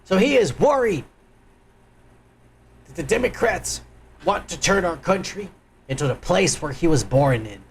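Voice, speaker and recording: A man's voice: high-pitched, animated, unhurried, vocal fry, pauses often, slight background noise. A man hosting a live stream, ranting crudely and angrily in profane political commentary.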